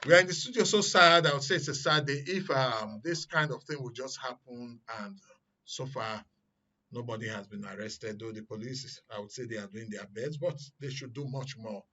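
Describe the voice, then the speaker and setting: A man with a Nigerian accent hosting a current affairs discussion in a studio, speaking haltingly as he searches for words. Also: mid-range voice, bright, forceful tone. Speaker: studio host. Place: radio and TV studio. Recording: dull, lo-fi broadcast sound.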